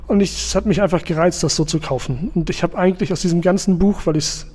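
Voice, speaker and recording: A man's voice: high voice, fast talker, a little mellow, slightly rough, big-room sound. A man from Hamburg, a stand-up comedian, telling a story in an interview in a wry way. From Hamburg, Germany. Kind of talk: interview answers.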